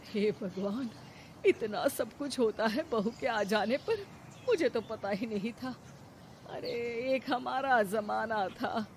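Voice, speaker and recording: Woman acting a scolding, grumbling mother-in-law, slow and high. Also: darkish tone, a little hiss or hum. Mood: dismayed. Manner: scolding, dramatic, sarcastic. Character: suspicious, grumbling mother-in-law, set in her ways. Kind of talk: animated moral-story dialogue.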